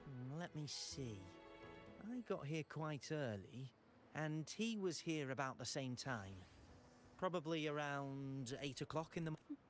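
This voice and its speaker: An actor playing a pensive bar patron absorbed in research, in measured video game dialogue. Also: male voice, fairly high pitch, soft background music, natural voice. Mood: mildly thoughtful.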